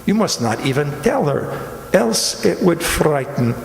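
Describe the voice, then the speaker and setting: An actor playing a sly, shabby fat Italian stranger in a radio drama, with an Italian accent and a courteous, theatrical, mock-humble manner. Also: male voice, a little high, sing-song, creaky, exaggerated voice. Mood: insinuating. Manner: mock-humble, ornate, teasing, deliberate speech. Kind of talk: amateur radio drama acting. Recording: very echoey reverberant hall.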